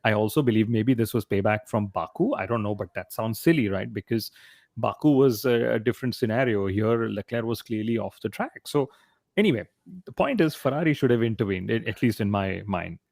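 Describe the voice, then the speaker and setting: A man's voice: on the low side, lively intonation, a little fast, creaky, darkish tone, no echo, clean studio sound. A man hosting a motorsport panel discussion, explaining points and putting questions to his co-hosts. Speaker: podcast host.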